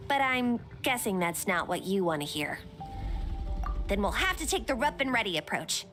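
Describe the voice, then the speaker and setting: A woman voicing a chirpy, excitable young female technical adviser in a fantasy video game, with an animated delivery. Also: medium pitch, normal speed, soft background music. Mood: surprised, wry. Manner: animated, expressive.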